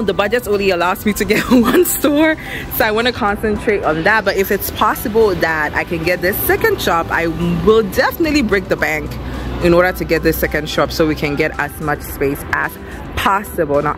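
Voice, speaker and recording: A young woman chatting casually and excitedly to viewers as a vlogger and business owner, recorded on a camera at arm's length. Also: lowish voice, normal speed, over music. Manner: excited, upbeat. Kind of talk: casual vlog chat to viewers. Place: outdoor storefront and bare empty shop room.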